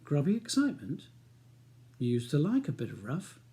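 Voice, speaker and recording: A man's voice: fairly high pitch, huge pitch swings, moderate pace, mellow. A man reading an audiobook as a weary, defensive barrister husband with a wry, ironic manner. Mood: sarcastic. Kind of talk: audiobook character dialogue.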